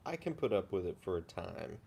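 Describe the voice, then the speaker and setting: A man guesting on a casual music chat, speaking in a measured, even-tempered way about songwriting. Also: low-pitched, gravelly, slight background noise. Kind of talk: casual chat over beers.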